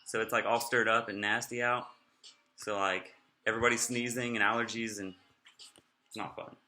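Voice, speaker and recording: A man's voice: on the low side, flat delivery, moderate pace, bright, forceful tone, reverberant. A young man vlogging in a casual, rambling way, sounding nasal and slurring his words a little. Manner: rambling, off-topic, chatty.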